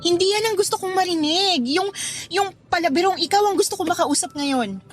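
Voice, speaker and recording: Young girlfriend, high-pitched, firm yet forgiving and teasing, earnest radio drama delivery over faint music. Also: a little fast. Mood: coaxing. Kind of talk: Tagalog radio drama acting.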